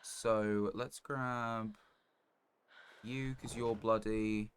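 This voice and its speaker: Male streamer, slightly low voice, casual gameplay commentary, halting and rambling, faint background noise. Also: level tone. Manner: halting, rambling, thinking aloud. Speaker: game streamer.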